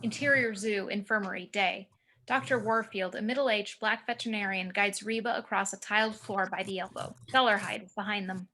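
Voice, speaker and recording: A woman narrates screenplay action in a scripted table-read style. Her voice is mid-range and notably bright and forward. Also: medium pitch, steady tone, fairly quick, a little hiss or hum, low-quality recording.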